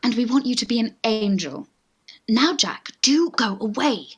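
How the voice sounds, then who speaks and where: Farce role: woman playing a genteel British young lady, coaxing and gushing, higher pitch, very animated. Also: moderate pace, husky. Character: coaxing, eager young Victorian lady in love. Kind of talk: Victorian stage farce, radio play acting.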